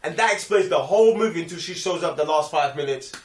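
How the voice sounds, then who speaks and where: A man's voice: a little high, lively intonation, fast talker, recorded from a distance. A man speaks from a little way off the mic in an echoey room. He talks film in an emphatic, slangy, casual way, slipping into short quoted lines. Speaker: film review show co-host.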